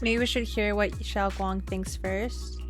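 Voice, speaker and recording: A woman with a middle-pitched voice streams a story game. She moves between casual reactions and reading game text aloud, with faint music behind her. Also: fairly even intonation, unhurried.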